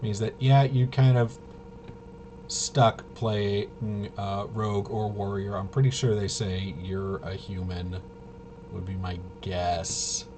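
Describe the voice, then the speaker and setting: A man giving a casual, unscripted walkthrough as a host, rambling and halting, with muttered asides to himself. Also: lowish voice, little pitch movement, darkish tone. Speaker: host of a tabletop RPG review video.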